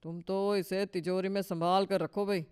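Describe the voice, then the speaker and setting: Male actor as old father-in-law, henpecked, folksy, teasing, smooth clear voice, cartoon dialogue. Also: sits high, flat delivery, normal speed, a little mellow, natural voice. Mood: placating, sly. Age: older. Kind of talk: Hindi cartoon story dialogue.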